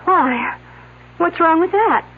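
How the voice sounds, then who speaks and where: An actress playing a cornered murderess, defiant and fearful, in old-time radio drama, with an emotional, melodramatic delivery. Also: female voice, extremely high pitch, lively intonation, takes it slowly, full character voice. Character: cornered murderess, defiant turning terrified and pleading. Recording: tinny band-limited old radio recording.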